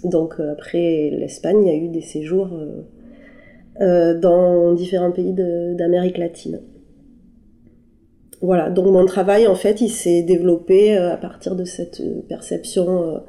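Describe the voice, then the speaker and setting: A woman artist answering questions in a halting, conversational way, with many fillers and restarts. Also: fairly low pitch, little pitch movement, warm tone. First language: French. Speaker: interviewed artist. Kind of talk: hesitant conversational interview. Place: her apartment.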